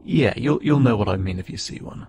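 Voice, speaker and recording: A man voicing a friendly, curious, slightly awkward alien spacefarer in a conversational audio roleplay, speaking haltingly and wryly. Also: mid-range voice, lively intonation, vocal fry, heavy background noise. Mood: easygoing, reassuring. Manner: casual, halting, gently wry. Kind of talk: conversational audio roleplay acting to an unheard listener.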